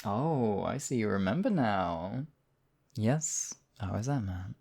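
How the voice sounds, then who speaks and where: A man's voice: lowish voice, expressive, takes it slowly, creaky, a little mellow, slightly rough, heavily acted. A man playing a smug, obsessive demon king in a theatrical audio roleplay, silky and possessive in his wooing. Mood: wistful, wooing. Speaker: voice actor. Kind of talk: theatrical roleplay monologue, ASMR audio drama.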